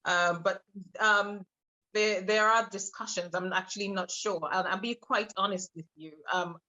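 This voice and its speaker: A woman in her thirties from Trinidad, a podcast guest telling her personal story with many hesitant pauses. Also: mid-range voice, steady tone, bright, forceful tone. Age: 30s. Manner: hesitant, many filler pauses, laughs between thoughts. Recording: muffled lo-fi audio.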